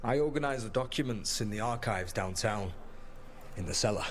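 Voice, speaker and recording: An actor playing a guarded, self-deprecating soldier turned clerk in a video game, with a wry, understated delivery. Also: male voice, lowish voice, expressive, normal speed, over music, close to an everyday voice. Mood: dry, resigned.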